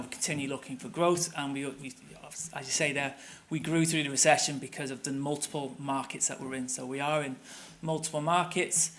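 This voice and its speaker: A man's voice: a little high, fairly bright tone. A middle-aged man with a Manchester accent giving a conversational conference presentation, with many fillers and restarts. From England. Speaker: tour operator presenter. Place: conference room.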